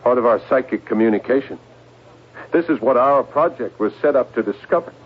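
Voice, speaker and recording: An actor in a 1970s radio drama playing an agitated, paranoid middle-aged intelligence agent, intense and halting in delivery. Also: male voice, medium pitch, animated, slightly rough. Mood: earnest, confiding. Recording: muffled, noisy old radio broadcast.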